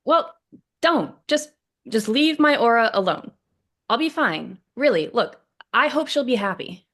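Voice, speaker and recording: A woman's voice: on the high side, lively intonation, a little slow, fairly bright tone. A woman playing a despondent, prickly young man, newly separated, in a sitcom script read. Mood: bitter.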